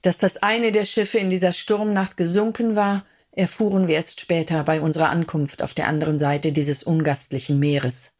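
A woman narrating as a sorrowful survivor of a shipwreck in a radio play, sombre and measured. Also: fairly low pitch, fairly even intonation, darkish tone, close to an everyday voice.

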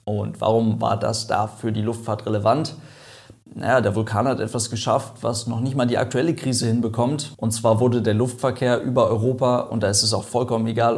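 A man's voice: medium pitch, vocal fry. A man hosting an online aviation video, explaining events in a chatty, lightly joking way. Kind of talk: casual explainer narration.

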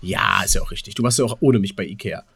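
A man's voice: on the low side, lively intonation, fast talker, fairly bright tone, husky, no room sound. Chatty man in a dry-sounding podcast. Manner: chatty, hesitant searching for words. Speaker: podcast co-host. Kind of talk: casual chat, rambling anecdotes.

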